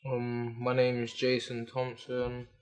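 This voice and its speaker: A man in his twenties from North London talking candidly and deliberately about his childhood in an interview. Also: mid-range voice, monotone, darkish tone, clear, resonant tone, lo-fi sound. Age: 20s. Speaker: hostel resident.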